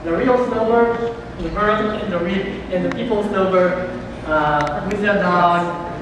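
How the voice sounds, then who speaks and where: A man presenting at a public meeting, explaining in a halting way with many restarts and fillers. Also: high-pitched. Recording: echoey hall from far off, noisy.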